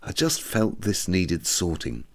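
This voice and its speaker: Guy reading as a plain-spoken man recalling his past for an audiobook, lowish, earnest, matter of fact. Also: wildly swooping pitch, slow pace, heavily acted. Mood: sober, candid. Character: reflective, plain-spoken man giving personal testimony.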